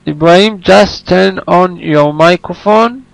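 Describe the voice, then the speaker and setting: Non-native english guy running an online class, slowish, kinda high voice, keeps searching for words. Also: vocal fry, no echo. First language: not English. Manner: halting, repetitive, searching for words. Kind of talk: informal lesson on a conference call.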